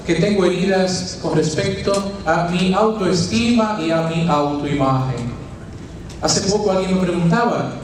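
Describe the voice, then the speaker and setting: A man's voice: sits high, slight background noise. A man from the Dominican Republic with a Dominican Spanish accent, preaching a retreat sermon in a church, teaching through rhetorical questions and folksy asides. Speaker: preacher, friar. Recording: very echoey church, distant mic.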